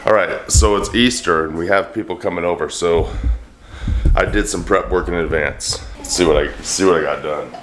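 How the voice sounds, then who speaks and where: Brief: middle-aged man, very low and a bit hoarse, rambling dry humor, echoey home kitchen with faint hiss. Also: moderate pace. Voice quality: deep, a little hoarse from a throat bug. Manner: joking, rambling, dry self-deprecating humor. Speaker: vlogger, home cook. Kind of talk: casual cooking vlog chat.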